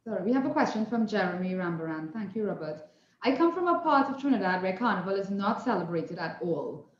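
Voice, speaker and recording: A woman moderating a live question-and-answer panel, passing along questions briskly. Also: mid-range voice, big pitch swings. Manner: brisk, facilitating. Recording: echoey room, dull audio, faint noise.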